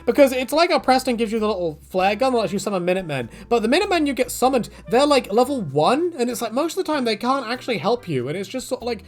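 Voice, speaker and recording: A man casually answering viewer questions in a rambling, animated way, his pitch jumping up whenever he slips into quoted asides. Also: fast, few pauses, faint music behind. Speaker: YouTube creator answering fan questions. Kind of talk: casual Q&A monologue.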